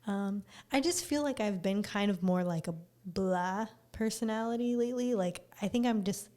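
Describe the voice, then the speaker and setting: A woman with a relaxed, mellow voice that has a slight rasp and a tired edge. She chats informally as a podcast co-host, keeping things low-key at a middling pitch. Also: moderate pace. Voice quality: slightly raspy. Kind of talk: casual chat.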